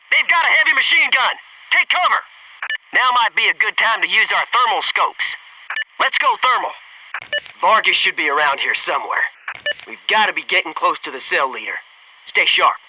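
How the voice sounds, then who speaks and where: A man's voice: high voice, lively intonation, moderate pace, background noise. A man voicing a brash, folksy special-forces soldier in a military shooter game, sarcastic and punchy in his delivery. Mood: urgent shouting. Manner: punchy, sarcastic wisecracks and urgent squad callouts.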